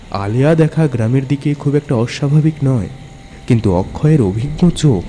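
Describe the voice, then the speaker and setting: A man's voice: medium pitch, mellow, a little hiss or hum. A man narrating a scripted ghost story as a dramatic storyteller. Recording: music bed under narration.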